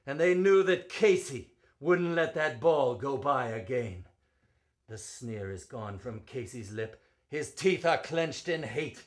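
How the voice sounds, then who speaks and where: A man performing as a poetry reader, with a theatrical, emphatic storytelling delivery and drawn-out words. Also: on the high side. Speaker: performer reading poetry aloud. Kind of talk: dramatic poetry recitation with spoken introduction.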